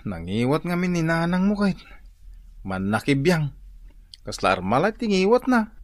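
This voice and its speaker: A woman playing an excitable, grumbling husband who is about to be a father, in an Ilocano radio drama comedy. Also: deep voice, moderate pace, no echo, studio quality. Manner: exclamatory, grumbling.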